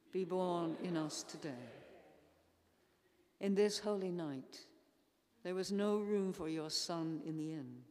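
An older woman leading formal prayers in a solemn, measured way, reading with pauses between phrases. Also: sits low, dark tone. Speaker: church intercessor leading prayers. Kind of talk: formal read liturgical prayer. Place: large church interior. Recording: echoey church hall, long reverb.

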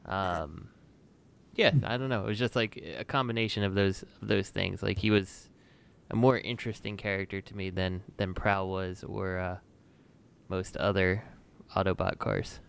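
Gravelly but clear low-voiced man. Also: fairly even intonation, moderate pace. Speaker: podcast panelist. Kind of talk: casual chat.

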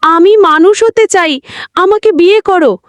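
A woman's voice: very high voice, a little slow, no echo, pitched above the performer's own voice. A woman voicing an eerie female ghost bride who pleads sweetly and plaintively to marry, in a storybook horror tale. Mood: plaintive pleading.

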